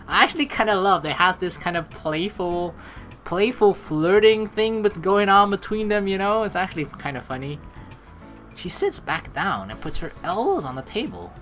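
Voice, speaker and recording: A woman hosting a game playthrough, reading narration aloud and adding casual commentary in a playful, expressive manner. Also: medium pitch, moderate pace, soft background music. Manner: playful, expressive, acts out lines. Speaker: let's play host.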